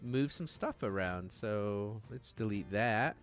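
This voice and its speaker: A man's voice: fairly low pitch, slow, soft background music. A man acting as game master, improvising narration and chatting casually and jokily with players on a stream. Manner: informal, jokey, slangy.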